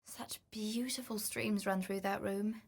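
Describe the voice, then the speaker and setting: A woman playing a wry, adventurous treasure-seeking explorer in a video game, speaking in a measured, theatrical, knowing way. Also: medium pitch, fairly even intonation, breathy edge. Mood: dry, musing. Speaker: game companion character. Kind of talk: scripted video game voice lines.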